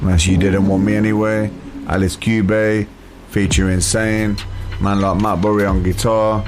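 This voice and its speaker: A man's voice: on the low side, flat delivery, measured pace, noisy background. A British man hosting a casual music radio interview, speaking in an informal, slangy way. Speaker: radio show host and interviewer.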